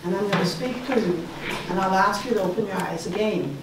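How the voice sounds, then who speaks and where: A woman's voice: mid-range voice, fairly quick, distant mic, noisy background. Bahamian woman in an echoey hall. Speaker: panel artist. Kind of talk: guided meditation address to an audience. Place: large hall, on stage.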